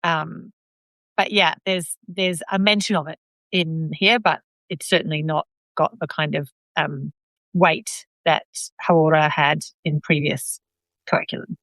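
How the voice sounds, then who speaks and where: A woman's voice: low voice, steady tone, unhurried, a bit bright, no room sound, low-quality recording. A woman with a New Zealand accent hosting a live online discussion, speaking thoughtfully with hedges and trailing phrases. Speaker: host of live video.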